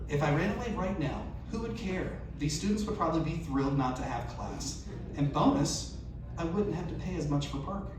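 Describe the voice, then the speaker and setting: A man's voice: a little high, animated, brisk. A man reading a humorous first-person story aloud to an audience, acting out its questions and dialogue as he goes. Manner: performed delivery, acting out dialogue and self-questions. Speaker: reader at a live storytelling event. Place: live event venue. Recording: echoey, noisy room recorded from far off.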